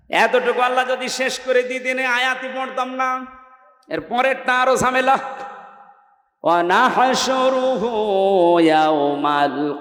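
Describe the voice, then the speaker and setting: High-pitched Bangladeshi male preacher, heavily echoing. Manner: emphatic, exhorting, swinging between raised cries and hushed lines. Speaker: Islamic preacher. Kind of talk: sermon to a public gathering, with chanted scripture recitation. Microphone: public-address microphone. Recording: echoing loudspeaker sound, long reverb.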